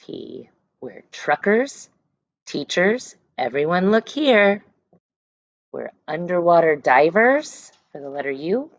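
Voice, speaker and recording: A woman's voice: lowish voice, big pitch swings, slow pace, no room sound, dull audio. A woman teacher reading a picture book aloud to young children, stressing words and letter sounds and adding short explanations. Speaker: elementary school teacher reading aloud to class.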